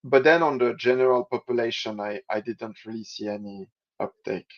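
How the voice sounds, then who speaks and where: Swiss man, halting, lo-fi call audio. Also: mid-range voice. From Switzerland. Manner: halting, many restarts and fillers. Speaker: podcast guest. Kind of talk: casual interview answers. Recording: dull lo-fi audio.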